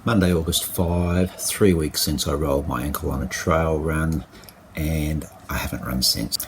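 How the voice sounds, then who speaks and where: A man talking through his injury recovery in a casual video diary, halting and full of fillers and restarts. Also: extremely low pitch, fairly even intonation, slight background noise. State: croaky with a cold. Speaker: vlogger.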